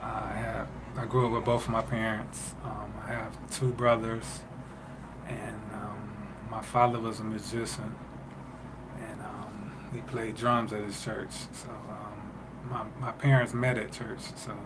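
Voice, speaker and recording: Man from Detroit, music producer guest, even monotone delivery, noticeable room noise. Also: fairly low pitch. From Detroit, Michigan. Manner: halting, many fillers and restarts. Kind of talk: casual interview answers. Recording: noisy ambience behind voice.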